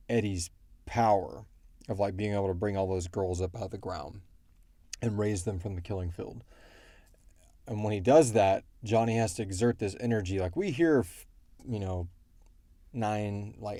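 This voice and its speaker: Guy podcast host, lowish mellow voice, dry sound, kinda halting as he explains stuff, casual q&a chat. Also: no echo. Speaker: podcast co-host and show creator answering fan questions. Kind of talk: casual question-and-answer chat about a fiction series.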